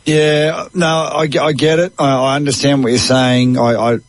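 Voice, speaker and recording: A man's voice: a little high, level tone, normal speed, no echo. A middle-aged man with an Australian accent, hosting casual sports radio chat in a matey, conversational way. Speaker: sports radio host and golf commentator. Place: radio studio.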